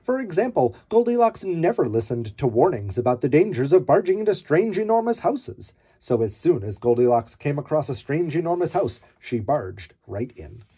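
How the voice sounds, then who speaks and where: Man, storyteller, very animated, mellow and slightly husky, wry theatrical delivery. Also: fairly high pitch, normal speed, hardly any pauses. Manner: wry, theatrical mock innocence. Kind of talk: read-aloud children's storytelling.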